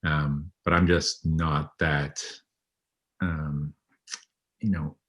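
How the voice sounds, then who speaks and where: Super deep-voiced vet guy in his 30s, slow steady talk, lots of ums. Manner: low, even, unhurried talk with frequent ums. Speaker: veterinary radiologist and teacher. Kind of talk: conversational interview.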